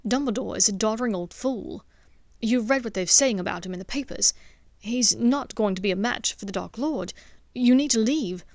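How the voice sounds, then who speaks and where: A woman's voice: a little high, normal speed, a little mellow, no echo, lightly acted. An intense teenage wizard boy from a fantasy audiobook, voiced by a woman. He speaks with urgency and scorn, in a commanding, protective way. The sound is close and dry. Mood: urgent.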